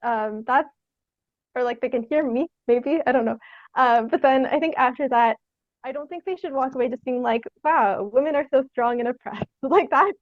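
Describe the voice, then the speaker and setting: A young woman from Canada answering interview questions casually, in a tentative, halting, giggly way. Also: sits high, dry recording. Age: young adult. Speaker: guest, theatre artist. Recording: dry, dull lo-fi sound.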